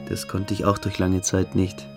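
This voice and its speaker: An actor playing a cold, scheming, cruel boarding-school cadet in a German radio drama, speaking in a deliberate, calculating way. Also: male voice, low voice, completely flat delivery, over music. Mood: conspiratorial, controlled.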